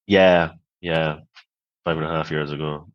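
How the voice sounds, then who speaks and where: Deep-voiced Barbadian-born man, lo-fi. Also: steady tone, measured pace. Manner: speaks in short phrases, repeats "you know". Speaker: podcast guest. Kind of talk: reflective storytelling.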